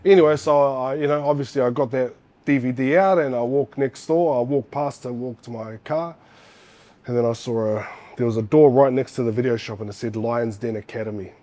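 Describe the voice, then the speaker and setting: A man's voice: medium pitch, brisk, warm tone. A man in his forties with a New Zealand accent, telling his story in a casual interview, rambling with fillers and restarts. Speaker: guest, former fighter.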